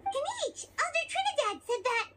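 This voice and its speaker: A woman voicing a small, childlike fairy companion in a video game, squeaky and excitable, speaking frankly. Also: moderate pace, heavy background noise.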